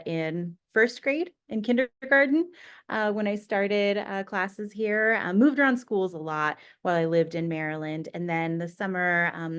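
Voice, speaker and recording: A woman in her thirties from Bangladesh introduces herself as a podcast guest. Her voice sits low and sounds smooth and clear. She talks earnestly and fills pauses with ums and uhs. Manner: earnest, many fillers. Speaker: school district director, guest. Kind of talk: casual self-introduction.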